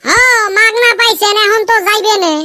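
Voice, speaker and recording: A woman's voice: very high voice, a little fast, pushed and bright, dry recording, clean studio sound. A woman voicing a cheeky, chatty young neighbour in a comedy cartoon, teasing.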